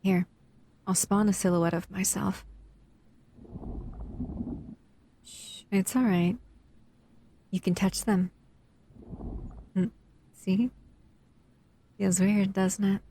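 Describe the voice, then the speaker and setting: A woman voicing a powerful shadow monster who is possessive yet tenderly protective, speaking softly and hesitantly in an intimate roleplay addressed to the listener, often near a whisper. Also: mid-range voice, level tone, slow, pauses often, a little hiss or hum. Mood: coaxing, calm. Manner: soft, soothing, hesitant, often dropping into whispers.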